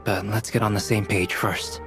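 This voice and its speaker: A man voicing a calm young alchemist in a video game, explaining his theories in a measured, analytical way. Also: on the low side, gravelly, over music.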